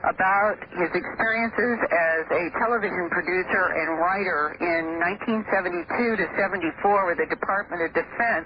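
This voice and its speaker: A woman reporting over a payphone from a noisy airport booth, giving a steady, detailed account. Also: lowish voice. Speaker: field reporter. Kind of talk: phone-in news report. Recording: muffled phone line with airport noise.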